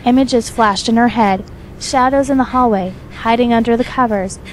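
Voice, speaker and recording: A woman's voice: on the high side, normal speed, slight background noise, poor audio. A woman narrator reading a dramatic fiction story from a script.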